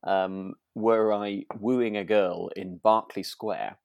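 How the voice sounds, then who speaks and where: A British man co-hosting a podcast in relaxed, casual chat, with chatty, hesitant phrasing. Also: fairly low pitch, takes it slowly, creaky, clean tone. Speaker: podcast host.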